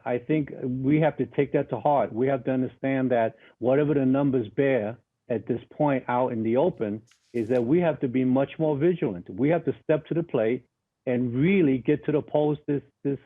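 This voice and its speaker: A man's voice: mid-range voice, normal speed. A man interviewed as a guest over a remote line, answering emphatically and bluntly, often repeating words as he starts a sentence. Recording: tinny phone-like remote line.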